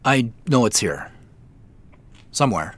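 A furtive male office worker in an audio drama. His talk is slow and halting, with an awkward, casual feel and pitch that moves around a lot. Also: expressive, fairly bright tone. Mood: furtive, musing. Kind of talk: naturalistic audio drama acting.

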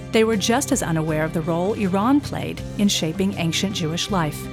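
A woman narrates a documentary podcast from a script. Her delivery is steady and composed, at a mid pitch. Faint music plays beneath her voice.

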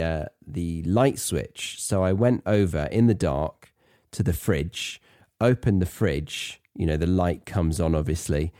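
Male British podcast host, low-pitched and animated, wry conversational teacher, close dry sound. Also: dry recording. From Britain. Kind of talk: chatty educational podcast monologue.

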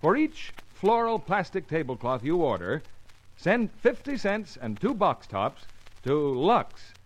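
American guy doing old fifties radio ad, kinda high voice, very lively. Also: slow pace, poor audio. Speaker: commercial announcer. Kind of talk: 1950s radio advertising pitch.

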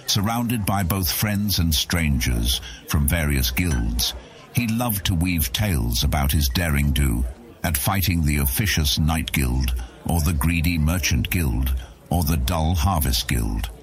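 A man narrating a fantasy audiobook as a scripted story, with a gravelly, husky voice. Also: extremely low pitch, lively intonation, a little slow, soft background music.

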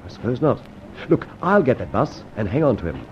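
A man acting a middle-aged gentleman adventurer and former soldier in an old-time radio serial, brisk and musing with clipped exclamations. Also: mid-range voice, very animated, a little hiss or hum, lightly acted. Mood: urgent, planning. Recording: muffled, dull old radio recording.

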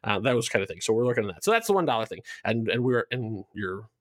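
A man's voice: on the low side, very animated, fast talker, a bit bright, no room sound, clean studio sound. A man talking enthusiastically in a casual promotional podcast chat, explaining things in a rambling way as a show's creator. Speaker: show creator being interviewed.

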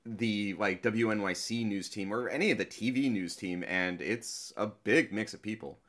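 A man's voice: on the low side, moderate pace, fairly bright tone. A man chatting casually as a podcast guest, effusive and rambling, often repeating words as he searches for phrasing. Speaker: podcast guest, audio drama creator. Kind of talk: casual, enthusiastic interview chat.

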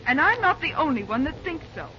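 An actress playing an outspoken, sharp-tongued young woman in a 1930s radio drama. The recording is a dull old radio transcription with faint hiss. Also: sits high, sing-song, quick pace, crowd noise. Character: spirited young woman, quick to distrust.

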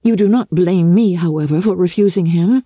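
A woman voicing a witty, candid, spirited young English gentlewoman in a novel reading, with a wry, teasing, articulate manner. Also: fairly low pitch, moderate pace, slightly rough, no room sound, clean studio sound. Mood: earnest, candid. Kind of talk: dramatic classic novel reading.

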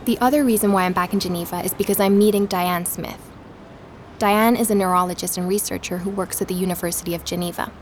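An actress playing a podcast host, narrating in a calm, reflective way for an audio drama. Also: female voice, on the low side, quick pace, a little hiss or hum, lightly acted. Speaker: podcast narrator and investigator Emma.

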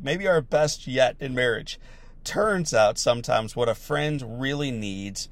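A slightly hoarse man, still recovering from laryngitis, giving an earnest, reflective motivational talk and repeating key phrases for emphasis. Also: medium pitch.

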